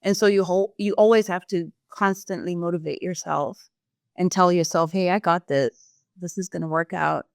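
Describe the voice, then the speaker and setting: Measured low-voiced woman from Zimbabwe. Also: steady tone, vocal fry, no room sound, clean studio sound. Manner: thoughtful, measured, with fillers. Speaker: sales employee, guest. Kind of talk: casual interview answers.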